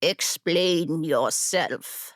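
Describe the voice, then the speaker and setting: A woman acts out an ancient female genie from a fantasy storybook, menacing and predatory. Her words come very slowly in a breathy growl that sinks toward a whisper, captured close and dry. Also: low voice, dry recording, voice pushed down, full character voice. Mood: suspicious, growling. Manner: slow, drawn-out, deliberate delivery. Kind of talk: fantasy audiobook character acting.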